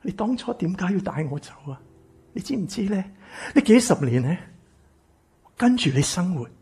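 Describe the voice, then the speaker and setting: A man acting a bitter, exploited grown son in a radio drama, with a Hong Kong Cantonese accent and an emotional, breathy delivery. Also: high-pitched, big pitch swings, moderate pace, full character voice. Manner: emotional, stammering, swinging from shouts to whispers. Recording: music behind the voice.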